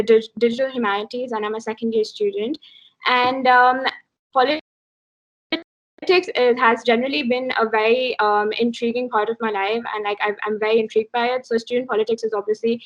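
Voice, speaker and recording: A young woman from Pakistan introducing herself as a student candidate, halting and full of fillers. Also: a little high, a bit bright, lo-fi sound. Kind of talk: casual self-introduction.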